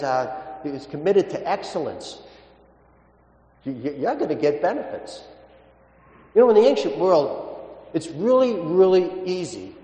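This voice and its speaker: A man preaches a homily in a somewhat high-pitched voice. He tells it as a story, haltingly, repeating words and pressing hard on the main points. His voice rings with long reverb in a large, echoing indoor space. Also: a little mellow. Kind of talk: sermon with anecdotes and gospel teaching. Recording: echoey, reverberant church-like hall.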